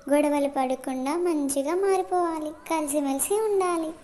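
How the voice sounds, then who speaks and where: A woman's voice: high-pitched, moderate pace, dark tone, slight background noise, close to an everyday voice. A woman voicing a put-upon, grumbling young village daughter-in-law in a cartoon moral story.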